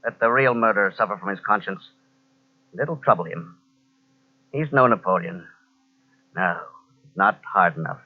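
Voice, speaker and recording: An actor playing a wily, patient police inspector, speaking with sly, deliberate casualness in old-time radio drama style. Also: male voice, lowish voice, lightly acted. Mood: grimly patient. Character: wily, patient male police inspector, cat-and-mouse interrogator. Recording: dull lo-fi old radio cassette transfer.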